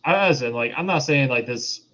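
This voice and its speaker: A man's voice: mid-range voice, expressive, fairly quick, bright, forceful tone. A man co-hosting a podcast in a casual review discussion, explaining his views in a hesitant, rambling way. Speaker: podcast co-host reviewing films. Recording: dull, lo-fi voice audio.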